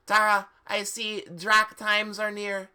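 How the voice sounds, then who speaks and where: A high, sharp-voiced witch professor from a fan fiction story, played by a man. She is melodramatic and urgent, delivering prophecies with theatrical solemnity. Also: monotone, slow pace, clean tone, heavily acted. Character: melodramatic female witch professor and fortune-teller.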